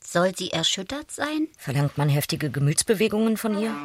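An actress narrating a German radio play in a clipped, dry, ironic manner. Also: female voice, medium pitch, big pitch swings, moderate pace, dry recording. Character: wry, ironic female narrator. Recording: music behind the voice.

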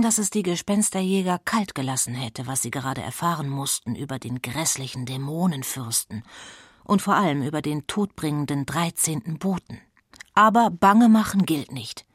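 A woman's voice: low voice, animated, normal speed, husky, no room sound, clean studio sound. A woman narrating a children's audio play in a scripted storytelling style.

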